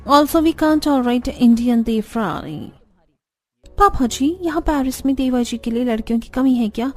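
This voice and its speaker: A woman as high-voiced snobbish young daughter-in-law. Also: unhurried, darkish tone. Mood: scornful. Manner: mixes English phrases into speech. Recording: background music under the voice.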